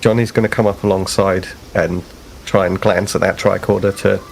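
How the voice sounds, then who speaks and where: A man with a gravelly, fry-laced low voice talks through his character's moves in a tabletop game. He explains his thinking in long, rambling turns, with faint background hiss. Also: level tone, normal speed. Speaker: player. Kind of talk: casual tabletop play.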